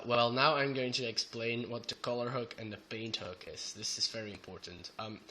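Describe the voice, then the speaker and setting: A young man with a Belgian Dutch accent, sick and a little off in voice, walks through code at a slow, halting pace full of fillers. His voice is fairly low yet bright. Faint noise and keyboard typing sit behind him. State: sick, voice sounds off. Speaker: programming tutor. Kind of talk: screen-recorded coding tutorial. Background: keyboard typing and clicks.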